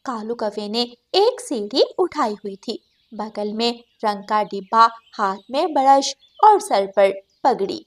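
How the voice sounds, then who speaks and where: A woman's voice: a little high, expressive, slow pace. A woman reading scripted narration for a children's cartoon story.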